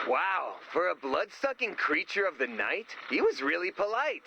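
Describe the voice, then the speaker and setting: A man's voice: sits high, very animated, normal speed, gravelly, sharp tone, dull audio, a little hiss or hum, heavily acted. A man playing a naive, good-natured, goofy sidekick in a video game, earnest and guileless, with a radio effect. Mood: wide-eyed. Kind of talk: video game character voice acting.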